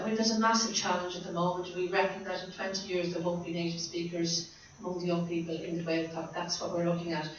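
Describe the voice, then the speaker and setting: An Irish woman speaking from the floor at a meeting, halting, with frequent restarts. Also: on the low side, a little hiss or hum. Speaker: association member proposing a motion. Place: hotel function room. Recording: echoey hall, distant mic, dull lo-fi sound.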